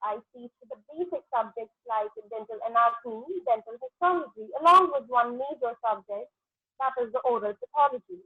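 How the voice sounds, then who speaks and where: A woman's voice: fairly high pitch, animated, brisk, slightly rough, no room sound. A woman in her thirties with an Indian English accent, a native Punjabi speaker, answering exam questions hesitantly with many fillers. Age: 30s. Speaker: exam candidate, dentist. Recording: tinny phone-like line.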